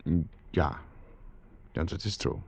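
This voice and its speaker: An actor playing a courteous, sly colonial government official in a 1940s radio drama, speaking formally with polite insinuation. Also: male voice, low-pitched, a little slow, a little mellow, breathy edge, full character voice. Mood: sly, amused. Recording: noisy vintage radio recording.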